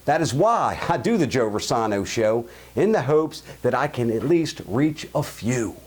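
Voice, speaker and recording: A man's voice: medium pitch, sing-song, a little slow, a little hiss or hum. Blunt Baltimore man ranting. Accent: Baltimore (Highlandtown). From Baltimore, USA. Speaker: show host. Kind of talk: opinion monologue and listener mail.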